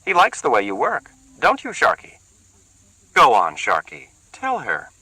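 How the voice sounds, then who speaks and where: A man voicing a tired, bitter woman who speaks slowly and distinctly. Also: medium pitch, wildly swooping pitch, husky, no echo, pitched above the performer's own voice, heavily acted, poor audio. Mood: weary bitter. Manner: slow, distinct, quietly cutting. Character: weary bitter woman, slow and deliberate.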